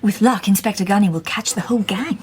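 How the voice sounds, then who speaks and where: An actress playing a poised, shrewd Victorian lady detective in a radio drama, speaking softly and breathily, half in a whisper. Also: medium pitch, quick pace, a little hiss or hum, close to an everyday voice. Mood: measured, knowing.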